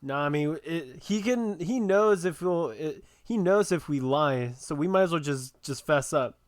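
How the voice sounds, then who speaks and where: Higher-voiced man reacting to a game. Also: lively intonation, unhurried, no room sound, clean studio sound. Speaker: game streamer. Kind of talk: casual reactions while playing a game.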